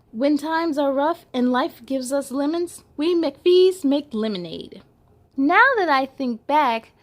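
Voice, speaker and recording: High-voiced woman reading a children's story. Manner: playful, giggly, animated storytelling delivery. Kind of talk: expressive read-aloud for children with chatty asides.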